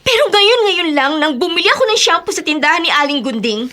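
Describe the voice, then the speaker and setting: High, bright, emotional young daughter. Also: female voice, expressive, fast talker, full character voice. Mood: hurt, confronting. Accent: Filipino. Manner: emotional, pleading, dramatic delivery. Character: distraught young adopted daughter seeking her real mother. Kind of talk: Filipino radio drama acting.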